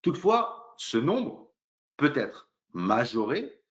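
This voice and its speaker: Man, lively intonation, hesitant step-by-step legal explainer, frequent fillers, muffled lo-fi recording. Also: medium pitch, slow pace. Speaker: lawyer presenting a legal webinar. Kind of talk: explanatory legal presentation, semi-improvised.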